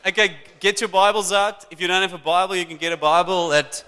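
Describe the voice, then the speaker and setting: Guy pastor preaching, lively, chatting with the crowd, smooth clear voice, kinda high. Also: a little fast. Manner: lively, talks to the congregation. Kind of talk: sermon. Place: church hall. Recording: long church hall reverb.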